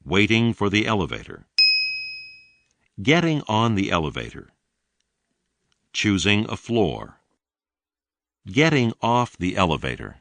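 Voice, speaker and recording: Low male voice, slow deliberate read-along narration, lively intonation, dry recording. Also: dry recording. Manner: deliberate, clearly enunciated teaching delivery. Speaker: narrator of an English lesson.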